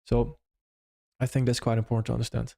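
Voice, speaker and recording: Young non-native English-speaking man, live-stream host answering chat, loose and rambling, mid-pitched voice. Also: completely flat delivery, fast, gravelly, warm tone. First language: not English. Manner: loose and conversational, frequent restarts and "if that makes sense".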